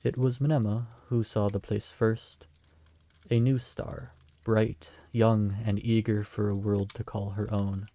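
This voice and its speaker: A man playing a calm, patient storyteller telling a bedtime tale in a fantasy audio drama. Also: lowish voice, gravelly.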